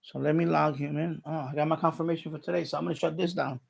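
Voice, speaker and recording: A man's voice: fairly high pitch, steady tone, quick pace, gravelly, husky. An older man hosting a live stream with casual, rambling chat, often hesitating and repeating himself.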